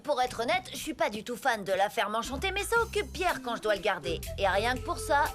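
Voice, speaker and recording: High, cheeky schoolboy schemer. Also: female voice, big pitch swings, a little fast. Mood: casual. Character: scheming, cheeky eleven-year-old boy, quick and smug. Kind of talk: French animated cartoon voice acting. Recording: music behind the voice. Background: cartoon music.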